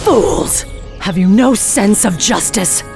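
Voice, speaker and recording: A woman's voice: medium pitch, lively intonation, takes it slowly, creaky, a little mellow, soft background music. A woman playing a vengeful, indignant elven warden in a video game, harsh, scornful and dramatic. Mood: furious. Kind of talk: video game cutscene acting.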